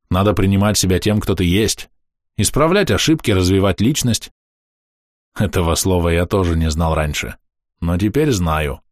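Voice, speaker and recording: A man reading a first-person diary aloud in a calm, matter-of-fact, measured way. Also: on the low side, dry recording, studio quality. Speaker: audiobook narrator.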